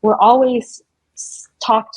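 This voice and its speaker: A woman's voice: on the high side, flat delivery, slow pace, husky, no room sound, low-quality recording. A woman speaking as a podcast guest, chatting informally and thinking aloud as she answers. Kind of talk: casual interview chat.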